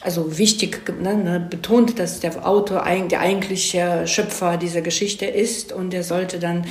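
A woman's voice: fairly low pitch, fairly even intonation, moderate pace, a little mellow. Woman chatting in an echoey room. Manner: conversational, frequent "ne?" tags seeking agreement. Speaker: screenwriter being interviewed. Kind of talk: casual explanatory chat.

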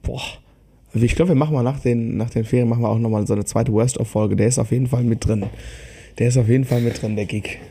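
A man chatting casually as a podcast co-host, rambling and halting as he thinks aloud. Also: mid-range voice, fairly even intonation, creaky, warm tone, slightly rough.

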